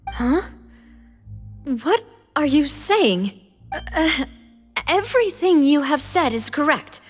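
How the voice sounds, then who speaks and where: High-voiced, bewildered young woman. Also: slow pace, full character voice. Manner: questioning, hesitant, expressive. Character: earnest, spirited young woman, bewildered defendant. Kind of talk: dramatic video game voice acting. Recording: reverberant hall, music underneath.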